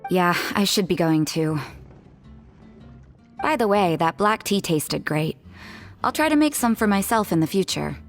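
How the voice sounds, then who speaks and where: Game casting: a blunt, unbothered young nun with a low voice. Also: female voice, animated, unhurried, long pauses. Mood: dry. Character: cool, aloof young nun who drinks. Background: faint background music.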